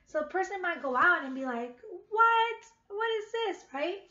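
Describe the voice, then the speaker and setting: Woman, thirties, high voice, children's read-aloud teacher, questioning, dull webcam audio. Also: lively intonation, normal speed, bright, forceful tone. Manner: repeats questions, addresses children as friends. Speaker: teacher reading aloud to young pupils. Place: living room at home, indoors. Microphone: webcam at about arm's length. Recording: dull webcam sound with clear noise.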